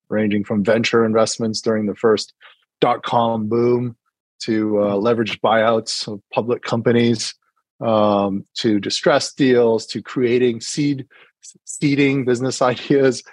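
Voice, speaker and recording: A man's voice: lowish voice, dry recording. A middle-aged man from New Hampshire, speaking as an interview guest in a casual, hesitant way with many fillers and restarts. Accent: partly British-sounding American. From New Hampshire, USA.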